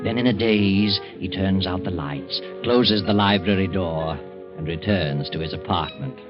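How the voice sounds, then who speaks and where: A man's voice: low-pitched, unhurried, music in the background. An actor playing an ominous male narrator of a murder mystery in 1940s radio style. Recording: dull, muffled 1940s radio recording.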